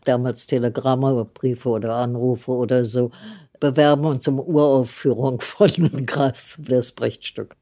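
A woman's voice: very deep voice, fairly even intonation, measured pace, mellow. An older woman interviewee recalling past work in casual, rambling, conversational speech. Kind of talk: casual spoken recollection.

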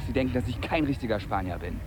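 An actor playing a bitter, foul-mouthed jobless labourer in a radio drama, grumbling cynically and coarsely. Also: male voice, medium pitch, lively intonation, fast talker, over music, close to an everyday voice. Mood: indignant. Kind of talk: naturalistic radio drama dialogue.

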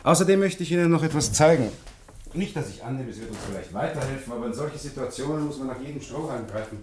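An actor playing a sceptical, matter-of-fact police commissioner in a German radio detective play. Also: male voice, mid-range voice, animated, fast talker, a crowd in the background, natural voice. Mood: confiding, businesslike. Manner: matter-of-fact, dismissive of theories. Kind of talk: German radio drama acting.

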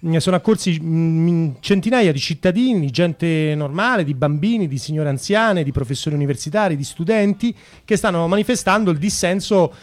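A man's voice: on the high side, normal speed, hardly any pauses, no room sound. A man hosting a radio show, giving informal live news commentary and searching for his words. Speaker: radio host introducing and interviewing.

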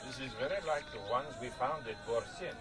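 An actor playing a preoccupied, absent-minded archaeologist husband in a radio drama, sounding distracted. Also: male voice, mid-range voice, a little fast, music in the background, close to an everyday voice. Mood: absorbed.

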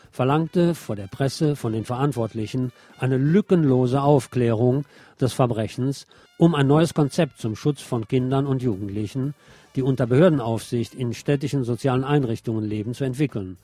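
Male radio news presenter, mellow tone, studio setting, pointed scripted commentary, faint music underneath. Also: mid-range voice, moderate pace, dry recording.

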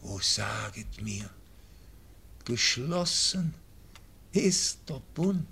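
An actor playing a frail, dying old nobleman, speaking verse slowly and fading into whispers. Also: mid-range voice, big pitch swings, vocal fry, bright, forceful tone, slight background noise, full character voice. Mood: solemn. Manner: slow, fading, drops into whispers. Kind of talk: classic radio drama verse.

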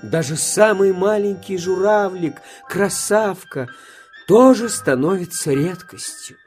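A woman voicing a big, grumbling but knowledgeable male grey crane in a children's audio fairy tale, sighing and expressive. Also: on the low side, slow, soft background music. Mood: knowing, wistful.

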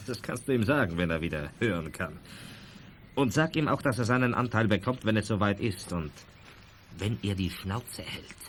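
An actor playing a bullying crime boss in a German youth radio play, gruff and commanding. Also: male voice, fairly low pitch, animated, normal speed, a little hiss or hum, close to an everyday voice. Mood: cold, threatening. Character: bullying male crime gang boss, rough and domineering.

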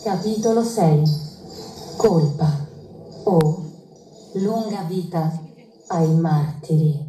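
A woman playing a solemn narrator who announces the chapters of a stage play in a deliberate manner. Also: lowish voice, warm tone. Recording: echoey stage recording, distant, hissy.

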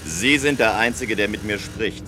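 An actor playing a proud, sceptical tower builder in a radio play, speaking in a pointed, argumentative way. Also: male voice, fairly low pitch, moderate pace, background noise, close to an everyday voice. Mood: irritated.

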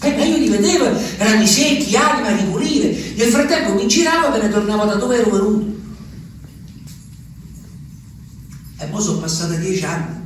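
A man's voice: high-pitched, animated, fast talker. A man acting an ageing peasant, very echoey. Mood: wistful. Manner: rambling, repetitive, swings from hushed to exclaiming. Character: ageing, lonely, rambling Abruzzese peasant man. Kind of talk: theatrical dramatic monologue. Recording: echoey, distant stage recording, faint noise.

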